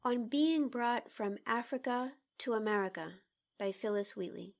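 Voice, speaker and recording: A woman co-hosting a literary discussion, speaking in a deliberate, articulate, emphatic way. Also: mid-range voice. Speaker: podcast co-host. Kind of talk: literary discussion and poetry reading.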